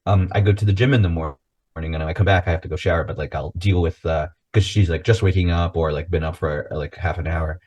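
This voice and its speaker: A man with a lowish voice hosts a relaxed podcast chat in an easygoing, joking way. He laughs along with his guest and keeps the talk light. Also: quick pace, lo-fi sound. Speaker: podcast host. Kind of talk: casual chat.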